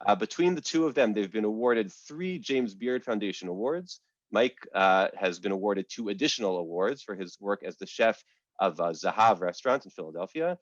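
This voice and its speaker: A man hosting and introducing guests over a video call, speaking in a measured way with frequent "uh" fillers. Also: lowish voice, expressive, no echo. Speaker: academic host and moderator. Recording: dull, lo-fi video call audio.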